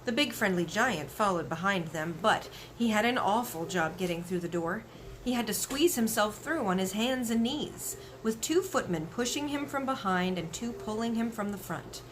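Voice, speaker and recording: A woman reads a children's book aloud. Her mid-pitched voice moves with plenty of expression and variety. A little background noise runs under the recording. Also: lively intonation, moderate pace, a bit bright, poor audio. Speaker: story reader.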